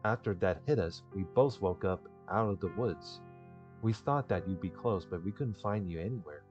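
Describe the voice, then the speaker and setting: A man's voice: sits low, normal speed, a little mellow, natural voice. A man voicing worried, frightened young male friends in a visual novel. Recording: dull lo-fi mic with music behind.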